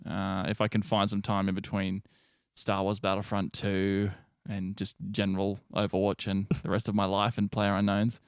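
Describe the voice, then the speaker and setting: A man's voice: fairly low pitch, completely flat delivery, normal speed, clear, resonant tone, no room sound, studio quality. A young Australian man co-hosting a gaming podcast, rambling and swearing casually in a laddish way. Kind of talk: casual banter.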